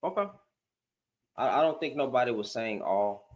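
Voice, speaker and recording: A man's voice: mid-range voice, very animated, moderate pace, muffled recording. Houston guy hosting a panel chat, slangy and rambly, keeps repeating himself. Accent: Southern US (Houston). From Houston, Texas. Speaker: live panel host. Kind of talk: casual live panel discussion.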